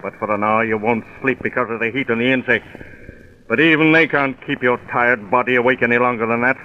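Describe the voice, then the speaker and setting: A man's voice: mid-range voice, steady tone, fairly quick, lightly acted. A man playing a bitter, weary old convict, scornful and emphatic, in an old-time radio crime drama. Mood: bitter gloom. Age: older. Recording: tinny, noisy vintage radio recording.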